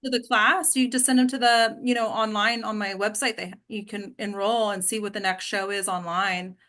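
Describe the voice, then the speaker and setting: A woman's voice: medium pitch, fairly even intonation, normal speed, fairly bright tone. A woman hosting an acting class discussion in a casual, informal and encouraging way.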